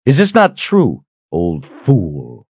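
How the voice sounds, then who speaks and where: A man's voice: medium pitch, huge pitch swings, takes it slowly, darkish tone, breathy edge, dry recording, highs cut off, voice pushed up, heavily acted. A man voicing a cold, defiant fantasy hero in an audiobook, taunting and intense. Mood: scornful challenge. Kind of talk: dramatic audiobook character acting.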